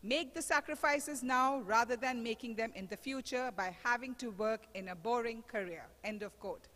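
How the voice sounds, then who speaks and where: A woman hosting an event, reading out a measured, prepared introduction of the guest speaker. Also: on the high side, fairly bright tone. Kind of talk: formal introduction. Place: large lecture hall. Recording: reverberant hall.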